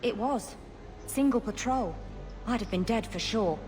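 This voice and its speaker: A woman's voice: on the high side, measured pace, a little mellow, soft background music, lightly acted. An actress playing a plain-spoken, friendly woman guard in a fantasy video game, talking matter-of-factly. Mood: grateful, matter-of-fact. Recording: long reverb, faint background noise.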